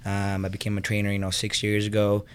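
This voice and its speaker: Low-voiced man from Venezuela chatting. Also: completely flat delivery, fast talker, clean tone. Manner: personable, many fillers. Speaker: guest, fitness business co-owner. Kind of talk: casual interview chat.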